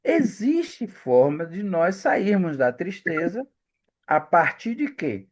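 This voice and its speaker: A man's voice: high voice, very animated, slow. A man hosting a casual chat, putting questions to his guest and joking about himself. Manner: asks questions, self-mocking. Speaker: host.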